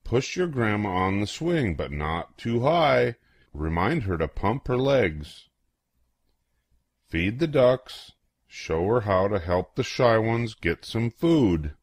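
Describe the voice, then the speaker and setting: Older man, children's storybook reader, playful, very lively intonation, close dry recording. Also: fairly low pitch, slow, no echo. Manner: playful, acts out exclamations and questions. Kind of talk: expressive read-aloud of a picture book.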